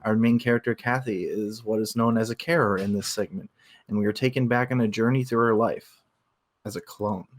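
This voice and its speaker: A man's voice: on the low side, fairly even intonation, brisk. A man co-hosting a book club livestream, informal and playfully mock-formal in his delivery. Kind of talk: casual show hosting.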